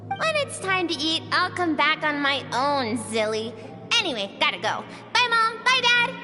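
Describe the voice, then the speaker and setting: An actress voicing a bold, restless young girl in a game, cheeky and excitable. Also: high-pitched, normal speed. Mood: breezy. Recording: game audio with long reverb and music.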